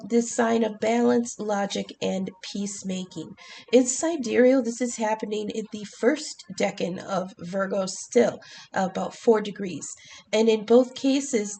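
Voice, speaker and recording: A woman's voice: mid-range voice, mellow, rough recording. Stuffy-nosed lady doing a tarot reading, lots of ums, swears a bit, light background noise. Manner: chatty with many ums and restarts; swears casually. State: congested from the flu. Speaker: tarot reader. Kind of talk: casual astrology and tarot reading.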